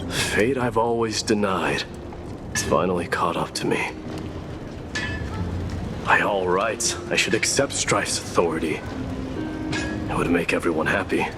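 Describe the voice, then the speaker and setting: Brooding young warrior prince, slow and intense. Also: male voice, deep voice, over music. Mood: brooding, troubled. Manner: solemn, measured, intense. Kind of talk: dramatic video game voice acting.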